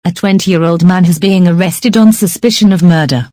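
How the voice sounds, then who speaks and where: A woman's voice: on the low side, moderate pace, mellow, no echo, clean studio sound, lightly acted. A woman playing a composed television newsreader, reading a scripted news bulletin in a formal manner. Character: composed female television newsreader delivering storm headlines.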